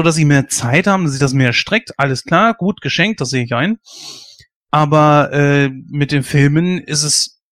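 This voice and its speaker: A fairly high-pitched man in his late thirties who speaks his mind with emphasis and rants at length. He hosts a casual chat. The sound is dry and close, with no room around it. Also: fairly bright tone, no echo. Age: 30s. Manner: opinionated, emphatic, ranting. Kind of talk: casual discussion.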